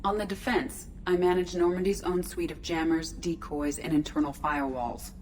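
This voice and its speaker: A woman voicing a starship artificial intelligence in a video game, measured, precise and detached in manner. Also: on the low side, little pitch movement, darkish tone, close to an everyday voice. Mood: calm, detached. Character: calm, formal female starship artificial intelligence. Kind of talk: scripted video game dialogue. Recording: clear background noise, ship ambience.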